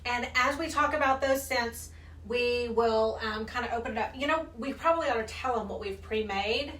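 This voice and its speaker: A woman's voice: on the high side, normal speed, a bit bright. A woman co-hosting a casual live stream, keeping the show on track in a friendly way. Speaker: co-host. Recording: echoey room, far from the mic.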